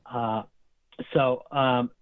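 A man's voice: mid-range voice, steady tone, extremely slow, clean tone, no room sound. A man in an interview, explaining nursing and medical terms at length, as a healthcare guest. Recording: tinny phone line, dry.

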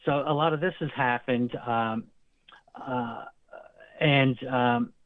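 Brief: male healthcare guest, steady mid-pitched voice, explains medical terms carefully; thin phone-line sound, dry. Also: slow pace, no room sound. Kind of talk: interview explanation.

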